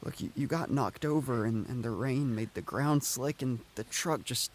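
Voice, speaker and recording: A man playing a gentle, anxious man in his late twenties in an audio drama, speaking in an awkward, halting, earnest way. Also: medium pitch, a little hiss or hum, close to an everyday voice. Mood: upset, pleading.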